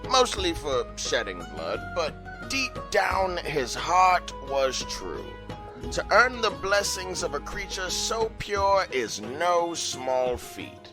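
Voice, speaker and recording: A lively, slow-paced male tavern host in an audio drama, his pitch swinging as he spins a tale over guitar music. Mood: storytelling. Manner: theatrical storytelling. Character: Alabaster, a theatrical male tavern host narrator.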